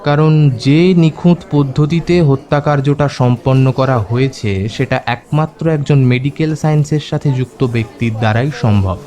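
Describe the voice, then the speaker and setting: An actor playing a thoughtful, decisive private detective in an audio drama, speaking tersely and deliberately. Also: male voice, medium pitch, mellow, lightly acted. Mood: thoughtful, probing. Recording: music under the voice.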